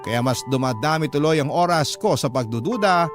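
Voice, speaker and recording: A man playing a jealous, insecure spouse, narrating a troubled love story in an emotive, deliberate radio drama style. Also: fairly high pitch, animated, close to an everyday voice. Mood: anxious, confessional. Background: music under the voice.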